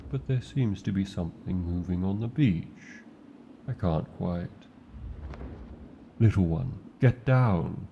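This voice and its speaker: A proud, protective male dragon with a British accent, voiced by a man, doting on his human partner in slow, formal phrases. His voice sits very low with a rough, gravelly edge and a mellow, dark tone. The recording is a little muffled and lo-fi. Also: slight background noise, full character voice. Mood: watchful. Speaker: voice actor. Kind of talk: fantasy ASMR roleplay.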